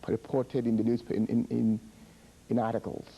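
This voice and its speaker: A middle-aged man from Ghana, directing a public health project, explaining a disease's history in measured, halting answers with repeated words and fillers. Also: mid-range voice, little pitch movement, gravelly, slightly rough, dull audio.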